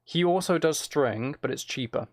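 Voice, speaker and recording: A man's voice: a little high, normal speed. A man live streaming, giving casual gameplay commentary and musing aloud as he chats to viewers.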